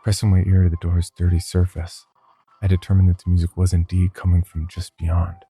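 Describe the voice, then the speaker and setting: A man reads a scripted horror tale in a very deep, dark voice. His delivery is grave and deliberate, with a gravelly creak. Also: monotone. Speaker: horror story narrator. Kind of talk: scripted first-person horror narration.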